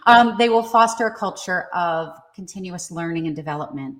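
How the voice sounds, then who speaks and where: A woman's voice: on the low side, lively intonation, normal speed. A woman from New York with a New York accent, speaking as an expert guest in a conversational interview, casual and emphatic. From New York state. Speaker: podcast guest, career coach and HR expert. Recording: echoey room sound.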